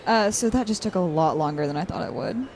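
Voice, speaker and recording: A woman's voice: on the low side, lively intonation, a little fast, a little mellow, lightly acted. A woman playing a studious, guarded college student with a dry, slightly hesitant delivery, in naturalistic audio drama. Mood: dry, casual. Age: young adult.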